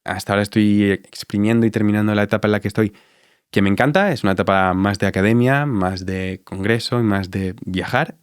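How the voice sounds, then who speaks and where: Deep-voiced Andalusian man in his thirties, guest on an interview; reflective, halting delivery, dry close mic. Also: fairly even intonation, clean tone, no echo. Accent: Andalusian Spanish. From Spain. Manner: reflective, halting with many fillers. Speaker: dentist guest. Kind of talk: relaxed interview answers.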